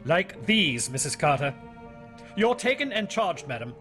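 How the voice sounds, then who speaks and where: An actor playing a shrewd, coolly polite British police inspector in a radio drama, speaking in a measured, formal, insinuating way. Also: male voice, fairly high pitch, big pitch swings, a bit bright, faint music behind. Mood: stern accusation. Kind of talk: old-time radio drama acting.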